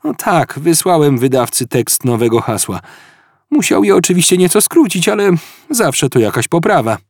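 A man's voice: fairly high pitch, sing-song, moderate pace, dry recording, clean studio sound, pitched above the performer's own voice. A man reading an audiobook, voicing an offhand, evasive researcher of the galaxy who hedges with fillers. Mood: sheepish. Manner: hedging, sheepish, with fillers.

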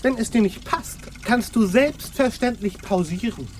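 An actor playing an agitated, bossy leader of pirate mutineers in a radio play, abrupt and commanding. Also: male voice, very high-pitched, lively intonation, a little slow, slight background noise. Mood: irritated, placating. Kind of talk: German radio play acting.

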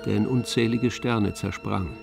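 A man narrating a literary story in a measured, serious manner. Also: fairly low pitch, fairly even intonation, vocal fry, over music.

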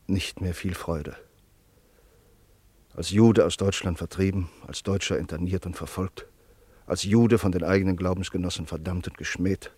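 A man narrating a radio play in a measured, grave tone. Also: low voice, a little mellow, no room sound.

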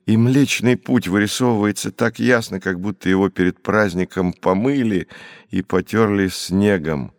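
A man reading literary prose as an audiobook narrator, in a measured, expressive storytelling manner. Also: deep voice, no echo, studio quality. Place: dry studio.

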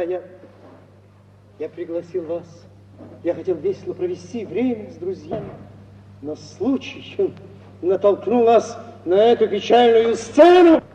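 An actor playing a cynical, self-serving husband with a declamatory, theatrically injured manner in 1950s Russian radio theatre style. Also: male voice, sits high, dark tone. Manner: declamatory and mock-tragic, turning brisk and dismissive. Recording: old dull hissy radio recording, distant, reverberant.

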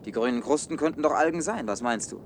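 An actor playing a practical, gently teasing geologist in a science-fiction radio drama, with an assured, matter-of-fact manner. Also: male voice, medium pitch, animated, quick pace, slight background noise, lightly acted.

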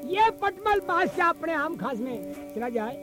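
A man. He performs as a traditional bard, alternating sung verse with narration, while an instrument plays clearly along on a field cassette recording. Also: very high voice, big pitch swings, measured pace, music in the background. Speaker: traditional folk storyteller and singer. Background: musical instrument accompaniment.

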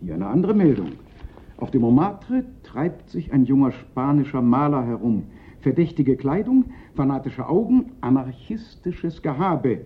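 An actor playing a fretful, self-pitying police prefect of Paris in a German radio play, theatrical and given to panicky lament. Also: male voice, medium pitch, very animated, unhurried. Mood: suspicious, insistent. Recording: dull, lo-fi radio play recording.

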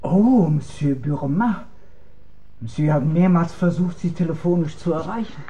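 High, muffled deferential manservant played by an actor. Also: male voice, unhurried, mellow, slight background noise, lightly acted. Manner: stiffly polite, servile. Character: formal, deferential manservant of a writer. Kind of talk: radio drama acting.

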